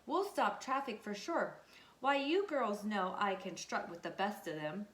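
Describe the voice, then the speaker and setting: A woman's voice: mid-range voice, lively intonation, unhurried, lightly acted. A woman voicing vain, gossipy farm hens in a storybook, with a huffy, showy, emphatic manner. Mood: excited, showy. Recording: echoey room.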